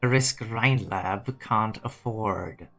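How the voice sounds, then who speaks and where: A man playing a cold, logical, condescending scientist in a fan dub, with a measured, cynical manner. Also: lowish voice, a bit bright, faint music behind. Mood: skeptical. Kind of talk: dramatic fan-dub voice acting.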